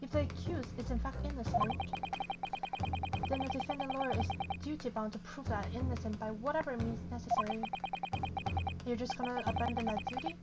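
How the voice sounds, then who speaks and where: High-voiced woman streamer over loud game music. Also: a little fast. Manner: informal, reactive, talking to herself. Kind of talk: playthrough commentary, reading game dialogue aloud. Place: bedroom at home.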